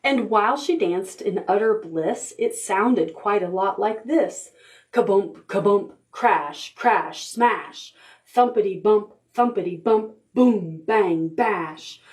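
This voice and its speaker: A woman leading a preschool storytime as a children's librarian, reading a picture book aloud in an expressive, rhythmic way with drawn-out words. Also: medium pitch.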